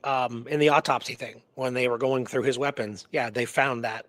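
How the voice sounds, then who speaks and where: A man co-hosting a show, casually discussing a historical mystery in a halting, rambling way that repeats words. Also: medium pitch, fairly even intonation, fairly bright tone, no echo. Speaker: co-host of a radio history show.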